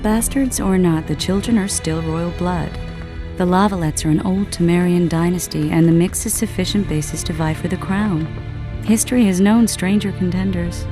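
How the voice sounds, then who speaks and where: An actress playing a composed, learned sorceress in a video game, speaking in a measured, articulate, gently argumentative way. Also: female voice, fairly low pitch, over music, close to an everyday voice. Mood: composed, reasoning. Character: composed, learned adult sorceress, loyal and reasoning. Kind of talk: video game cutscene acting.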